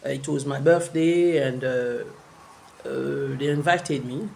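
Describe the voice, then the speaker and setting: Older man, gravelly. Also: medium pitch, slow pace, darkish tone, a little hiss or hum. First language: French. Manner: rambling, hesitant, many fillers and restarts. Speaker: vlogger. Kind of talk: casual vlog talk to camera.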